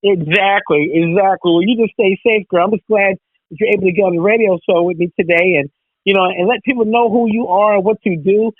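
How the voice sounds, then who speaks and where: A woman's voice: medium pitch, fairly even intonation, brisk, no room sound. A woman hosting a radio interview, chatty and full of "you know". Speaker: radio host. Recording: tinny phone line.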